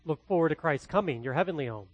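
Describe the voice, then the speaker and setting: Dry-voiced Midwestern preacher, loud then hushed. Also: male voice, fairly high pitch, animated, fast, no echo, highs cut off. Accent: Midwestern American. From American Midwest. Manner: dramatic swings between loud and hushed delivery. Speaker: pastor. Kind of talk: expository sermon.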